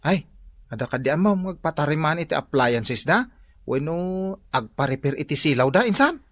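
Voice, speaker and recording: A man's voice: a little high, expressive, normal speed, dry recording. A man playing an eager, earnest young student handyman with an Ilocano Filipino accent in a comedy radio drama.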